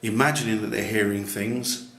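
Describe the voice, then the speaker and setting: Loud booming man in an echoey building. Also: steady tone, moderate pace. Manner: musing, skeptical. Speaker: ghost-hunting YouTuber. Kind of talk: casual on-location chat. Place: large echoey building, a former jail, at night. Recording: echoey room with faint noise.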